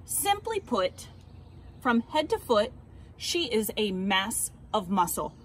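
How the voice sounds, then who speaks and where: A woman's voice: medium pitch, very animated, slow pace, slight background noise. A woman voicing stuffy, disapproving male doctors and clubmen from a children's storybook, pompous and emphatic.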